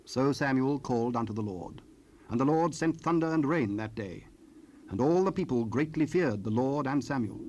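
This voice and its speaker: A man's voice: medium pitch, expressive, normal speed, a little mellow. Solemn male scripture narrator, faint tape noise. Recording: faintly noisy cassette rip.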